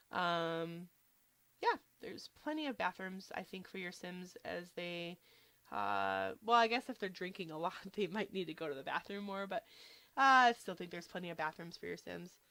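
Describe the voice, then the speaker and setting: A woman's voice: lowish voice, no echo. Woman with a cold, slightly nasal. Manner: relaxed, chatty, many fillers like "um" and "like". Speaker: game building video creator. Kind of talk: casual voice-over narrating a build.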